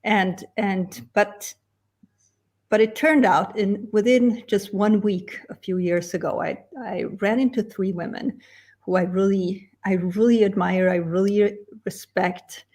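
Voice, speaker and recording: Woman chatting with halting restarts. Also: mid-range voice, fairly even intonation, darkish tone. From Sweden. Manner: halting, many restarts and little laughs. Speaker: guest. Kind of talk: casual interview chat.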